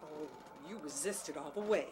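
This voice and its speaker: A woman's voice: low voice, moderate pace, darkish tone, close to an everyday voice. A woman playing an exasperated, defiant unfaithful wife in an audio drama, scolding and indignant. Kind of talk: radio-play style dramatic acting. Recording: faint background noise.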